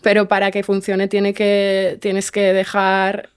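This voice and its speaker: A woman's voice: mid-range voice, completely flat delivery, takes it slowly, smooth. A woman chatting casually in an interview, rephrasing often and checking for agreement as she goes. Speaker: guest, fiction podcast writer.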